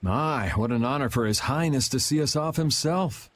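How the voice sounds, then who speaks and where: A man's voice: medium pitch, expressive, normal speed, close to an everyday voice. A man voicing a laid-back, sardonic young hero in a video game, casual and wry.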